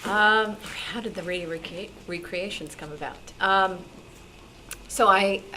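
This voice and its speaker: A woman from New York City telling personal anecdotes to a club audience in an animated way, acting out remembered dialogue. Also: mid-range voice, unhurried. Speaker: radio drama actress and sound effects performer, guest speaker. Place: meeting room with audience. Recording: noisy room at a club meeting.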